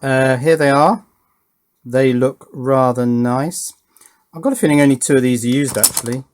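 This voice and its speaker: A man's voice: medium pitch, moderate pace, a little hiss or hum. A man giving casual, understated hands-on commentary as he works on a hobby electronics build, often thinking aloud and hesitating. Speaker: hobby electronics video maker.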